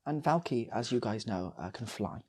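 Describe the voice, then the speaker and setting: A man's voice: medium pitch, lively intonation, moderate pace, darkish tone. A man speaking casually as a channel host, in a playful, rambling way with repeated phrases, like improvised comic storytelling.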